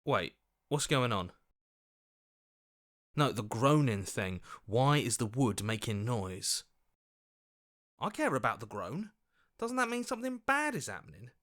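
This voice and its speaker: Earnest, anxious game hero, swooping pitch. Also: male voice, very animated, unhurried, long pauses, fairly bright tone. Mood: worried, protesting. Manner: earnest, questioning, protesting. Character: earnest, anxious heroic inner voice, male. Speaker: game voice actor. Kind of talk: dramatic game voice acting.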